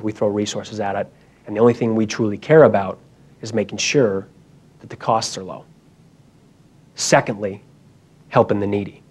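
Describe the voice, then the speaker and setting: A man's voice: on the low side, a little mellow. A young man giving an emphatic, urgent motivational talk at a conference, speaking into a handheld mic in a hall.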